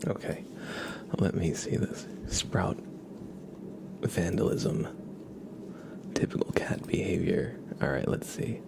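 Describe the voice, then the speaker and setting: Hushed, gravelly low-voiced man streaming ASMR. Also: slow, pauses often. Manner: hushed, amused, chatting to viewers. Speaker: live streamer, ASMR host. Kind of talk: casual ASMR livestream chat, soft-spoken to half-whispered. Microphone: close ASMR microphone.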